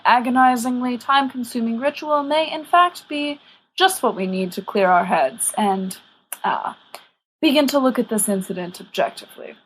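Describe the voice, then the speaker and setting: A woman's voice: a little high, a little hiss or hum, pitched above the performer's own voice, lightly acted, rough recording. Woman voicing a serene, courteous old wizard headmaster. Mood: gentle but firm. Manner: measured, formal, politely wordy. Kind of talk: audiobook character reading.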